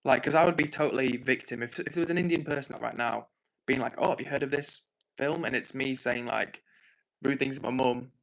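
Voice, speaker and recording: A man's voice: mid-range voice, fairly even intonation, a little fast, creaky, rough recording. A young man from England hosting a livestream, chatting casually and self-deprecatingly while reading out viewer comments. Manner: chatty, self-deprecating, apologetic, laughs often. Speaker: livestream host.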